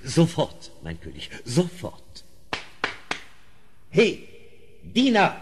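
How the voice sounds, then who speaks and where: An actor playing a malicious royal minister in a German audio drama, fawning, sneering and theatrical. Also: male voice, a little high, wildly swooping pitch, extremely slow, noisy background, heavily acted. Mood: officious. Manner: obsequious to his master, spiteful, theatrical.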